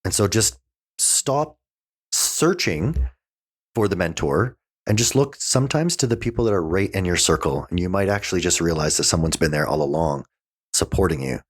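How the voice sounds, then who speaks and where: A man's voice: low-pitched, expressive, normal speed, breathy edge. A man in his forties from Canada, a podcast guest talking in a casual, emphatic way, full of restarts and "you know". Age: 40s. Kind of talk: casual conversation. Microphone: desk mic he keeps knocking.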